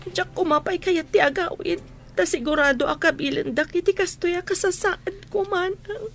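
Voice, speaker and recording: An actress with a Filipino accent playing a meek young housemaid in a radio drama, plaintive and pleading. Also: female voice, very high voice, moderate pace, gravelly. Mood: tearful, pleading.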